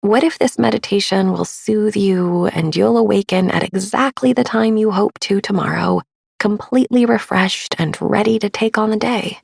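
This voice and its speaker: A woman podcast host narrating a sleep meditation in a soft, breathy, soothing and gentle manner. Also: medium pitch, moderate pace, no room sound, studio quality. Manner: soothing, hushed, gentle delivery.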